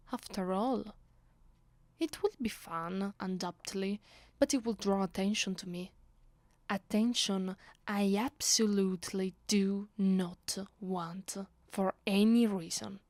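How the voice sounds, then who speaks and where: A woman's voice: mid-range voice, a little mellow, dry recording, lightly acted. A woman with an Italian accent playing a commanding, teasing vampire noblewoman in an ASMR roleplay, imperious and playful, often slipping toward a whisper. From Italy. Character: commanding, teasing, seductive aristocratic female vampire. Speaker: voice actress.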